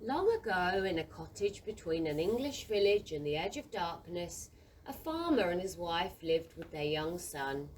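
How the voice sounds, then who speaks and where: A woman's voice: fairly low pitch, sing-song, moderate pace, darkish tone. A woman narrating a children's audio play as a theatrical storyteller. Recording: distant mic, faint room noise.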